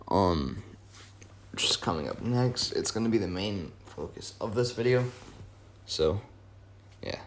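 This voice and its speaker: A hoarse young man, his voice worn from yelling, rambling casually through a wrestling talk with many fillers and self-corrections. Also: lowish voice. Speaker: wrestling video host. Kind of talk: casual fantasy-booking commentary.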